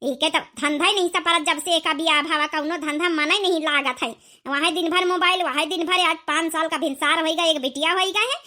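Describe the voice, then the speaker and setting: A woman's voice: very high voice, sharp tone. A woman voicing a scolding, grumbling old village mother-in-law in an Awadhi accent, for a cartoon comedy. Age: older. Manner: nagging, complaining.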